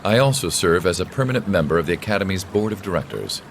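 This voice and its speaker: Deep-voiced, composed nobleman. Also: animated, slight background noise. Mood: courteous, smooth. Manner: polished, measured formal speech. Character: composed, authoritative young nobleman, courteous with quiet menace. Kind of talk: dramatic video game voice acting.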